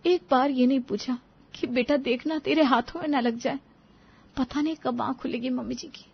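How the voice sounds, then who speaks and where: Put-upon young daughter-in-law acted by a woman, resentful and aggrieved, high voice, family tale, dull recording. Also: fairly even intonation, moderate pace, gravelly, breathy edge, exaggerated voice. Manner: aggrieved, muttering. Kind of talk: Hindi family story dramatization.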